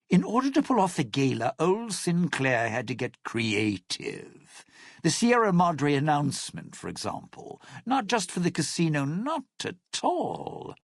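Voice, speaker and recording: An actor playing a sly, bitter, scheming lounge singer in a video game, with a raspy, gravelly voice and a wry, smooth-talking, theatrical manner. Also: male voice, medium pitch, dry recording, clean studio sound, full character voice. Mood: wry, musing. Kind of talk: video game character dialogue.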